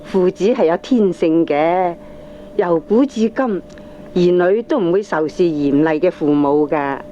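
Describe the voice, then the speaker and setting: A woman's voice: fairly low pitch, a little slow, dark tone, close to an everyday voice. Woman playing preachy Hong Kong aunt, muffled recording. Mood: preachy, assured. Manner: lecturing, emphatic. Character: opinionated aunt, firm, preachy family adviser. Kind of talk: Cantonese radio drama acting. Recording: dull, slightly noisy old cassette recording.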